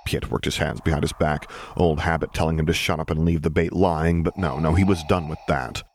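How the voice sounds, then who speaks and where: Male narrator, low pitch, expressive scripted storytelling, faint background noise. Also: fairly quick. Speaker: audiobook narrator.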